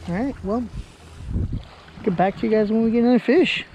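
A man's voice: high voice, fairly quick, lo-fi sound. A young man hosting a casual fishing vlog from a lakeshore, chatty and upbeat, laughing often, recorded on a body-worn camera. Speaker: fishing vlogger. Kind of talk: casual outdoor vlog narration. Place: outdoors on a lakeshore. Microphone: body-worn action camera. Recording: outdoor wind and ambient noise.

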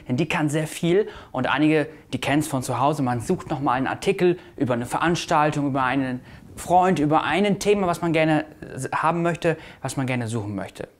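A young man giving a semi-casual explanatory presentation to viewers as a newspaper staff presenter. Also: fairly high pitch. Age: young adult. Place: indoor video studio. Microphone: lapel mic.

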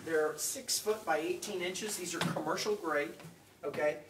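A hoarse man hosting a casual live sales walkthrough of a furniture store, chatting and reading out prices. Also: fairly high pitch, measured pace. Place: large furniture showroom indoors. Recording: echoey showroom, noisy, off-mic.

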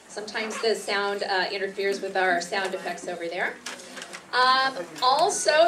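A woman host and instructor giving welcoming announcements to a live audience in an indoor room. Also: on the high side. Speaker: acting instructor and event host. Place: meeting room stage, indoors. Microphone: far camera. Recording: distant camera mic, clear background noise.

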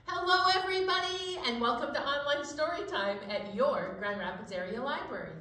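A woman's voice: high-pitched, expressive, moderate pace, sharp tone. A middle-aged woman presenting a children's storytime, chatting directly to young listeners. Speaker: children's library storytime host. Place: indoor library storytime set, seated. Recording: echoey room, faint noise.

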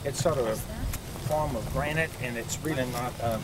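An older man explains gravestones to a tour group outdoors, chatty and unhurried, stopping often on "uh" and starting over. His voice sits at an ordinary pitch and comes through a camcorder from a few feet away. Steady traffic noise runs behind him. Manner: chatty, explanatory, with frequent uh fillers and restarts. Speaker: cemetery tour guide. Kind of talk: outdoor guided walking tour talk. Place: outdoor cemetery among trees. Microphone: camcorder mic from a few feet away.

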